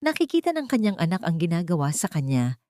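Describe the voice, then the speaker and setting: Narrator for a melodrama: woman, lowish voice, scripted dramatic delivery, very dry recording. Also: sing-song, fast talker, mellow, dry recording.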